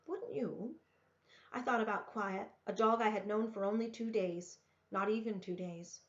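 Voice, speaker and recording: A woman's voice: mid-range voice, fairly even intonation, normal speed, echoey room. A woman reading a first-person story aloud as a storybook narrator. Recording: slightly dull lo-fi recording.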